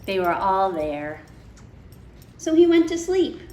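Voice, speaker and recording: A woman reading a picture book aloud to children in an expressive, deliberate way, often turning to her listeners with questions. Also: mid-range voice. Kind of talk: read-aloud storytelling with asides to child listeners. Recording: echoey room with faint background noise.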